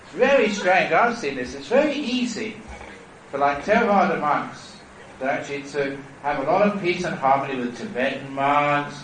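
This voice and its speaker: A Buddhist monk giving a dharma talk at a retreat, telling stories in a playful, persuasive way. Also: male voice, on the high side, animated, normal speed, slight background noise. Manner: storytelling, playful and warmly persuasive. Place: temple hall. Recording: echoey hall from a distance.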